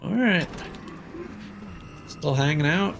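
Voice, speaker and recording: A man's voice: a little high, lively intonation, slow, vocal fry, a bit bright, soft background music. A man doing casual live gameplay commentary, muttering to himself in short reactions over game sound. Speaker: video game streamer.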